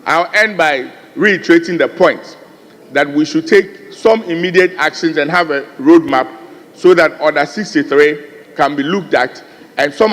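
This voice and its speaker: An earnest Ghanaian man speaks on the floor of a large parliamentary chamber. His voice sits a little high, and he builds emphasis as he goes. A long reverb from the hall trails behind his words. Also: a bit bright. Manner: earnest, rising emphasis, repeated addresses to the chair. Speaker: member of parliament.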